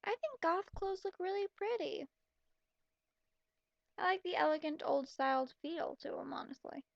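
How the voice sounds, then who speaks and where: A woman's voice: very high-pitched, animated, normal speed, gravelly, no room sound. A young woman reading a story aloud with blunt, mocking, exasperated commentary, on a slightly dull home recording. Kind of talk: sarcastic reading with running commentary.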